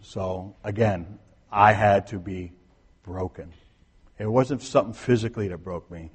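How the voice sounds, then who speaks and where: A husky, fairly low-voiced man preaches to his church. His sermon is conversational, dropping to near-quiet asides and rising to emphatic points. A long reverb hangs in the sanctuary behind him. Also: unhurried. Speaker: pastor.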